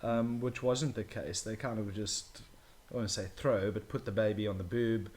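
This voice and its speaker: A man's voice: fairly low pitch, steady tone, moderate pace, darkish tone. A young man, talking casually as a guest about his own experience. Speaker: interview guest, new father. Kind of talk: casual conversational talk.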